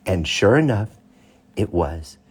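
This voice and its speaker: A man with a husky voice, a father reading a storybook aloud to his child with casual asides. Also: low voice, sing-song, slow, slight background noise. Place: child's bedroom, indoors.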